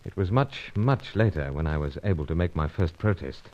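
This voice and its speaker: An actor playing a British secret agent hero with a British accent, speaking in a clipped, understated way in an old radio drama. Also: male voice, sits low, lively intonation, fairly quick. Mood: narrating. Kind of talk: 1950s radio drama acting. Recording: dull lo-fi old radio recording.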